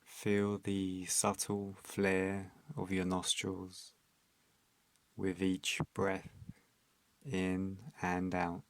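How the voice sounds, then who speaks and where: Male meditation guide: calm and soothing, extremely monotone delivery, very dry close recording. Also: low-pitched, dry recording. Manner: calm, soothing, instructional, with long pauses.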